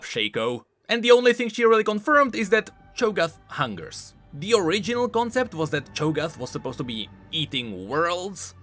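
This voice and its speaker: A man's voice: on the high side, sharp tone. A man hosting a scripted explainer on video game lore, talking informally with emphatic, swooping stresses.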